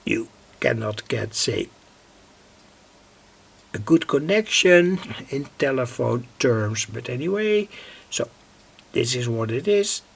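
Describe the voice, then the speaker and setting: Slow gravelly man at his workbench. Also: mid-range voice, lively intonation. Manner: rambling, self-correcting, thinking aloud. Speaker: radio hobbyist demonstrating equipment. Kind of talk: casual workbench demonstration.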